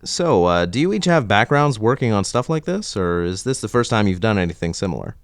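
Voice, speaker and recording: A man's voice: on the low side, sing-song, brisk, no echo, clean studio sound. A man hosting a playful scripted podcast interview with a wry, mock-formal manner. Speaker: podcast host.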